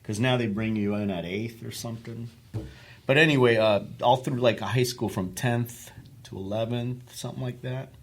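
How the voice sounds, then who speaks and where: A man's voice: medium pitch, fairly even intonation, normal speed. A middle-aged man from Argentina, a lead guitarist, chatting casually and rambling with many restarts. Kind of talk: casual chat.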